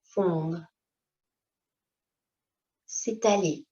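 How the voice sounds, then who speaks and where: Woman guiding meditation, dull lo-fi recording. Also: on the low side. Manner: calm, unhurried, gently instructing in short phrases. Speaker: yoga teacher. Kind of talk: slow guided yoga nidra meditation. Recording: dull, lo-fi sound.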